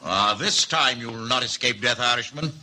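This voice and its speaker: Stern high official, vintage radio drama, formal, very forceful bright voice, faint noise. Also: male voice, moderate pace. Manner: formal, commanding. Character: stern, authoritative governor, His Excellency. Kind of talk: 1950s radio drama acting.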